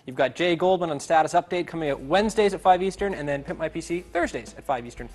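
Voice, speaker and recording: Fast-talking high-voiced male host. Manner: upbeat, joking. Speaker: show host. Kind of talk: casual chat.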